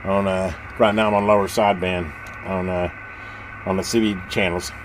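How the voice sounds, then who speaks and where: Rambling man over clear background radio noise. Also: low voice, flat delivery, normal speed. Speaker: hobbyist video host showing a vintage radio. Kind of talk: casual unscripted show-and-tell. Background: CB radio hiss and chatter.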